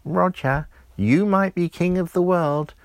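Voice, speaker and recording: A man voicing a little elf who slowly warns a boy about his teeth. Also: high-pitched, no room sound, voice pushed up. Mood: warning. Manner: slow, drawn-out, warning. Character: small wise scolding male elf.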